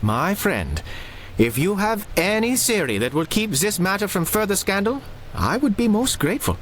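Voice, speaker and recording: Fussy, courtly ship's doctor, very lively pitch. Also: male voice, moderate pace, slight background noise. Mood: uneasy. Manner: formal, courtly, hesitant. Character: fussy, courtly German ship's doctor, anxious about scandal. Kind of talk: 1930s radio drama acting.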